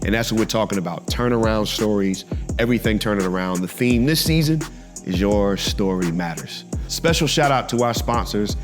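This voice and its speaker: Low-voiced American man hosting a podcast. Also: normal speed, over music. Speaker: podcast host. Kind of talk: podcast intro and casual chat.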